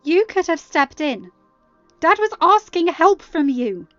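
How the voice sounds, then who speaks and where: A woman's voice: very high voice, very animated, slow, fairly bright tone, dry recording, highs cut off, faint music behind, voice pushed up, exaggerated voice. A woman voicing an angry, sarcastic, defiant young woman with wounded pride, speaking firmly and scornfully in an audiobook-style story reading. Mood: defiant anger. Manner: firm, scornful, emphatic.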